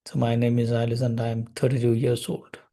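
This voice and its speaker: A young man, slowly explaining code step by step as he types in a tutorial. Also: mid-range voice, monotone, dark tone. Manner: slow, step-by-step explaining while typing code. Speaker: programming tutor. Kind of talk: screen-recorded coding tutorial.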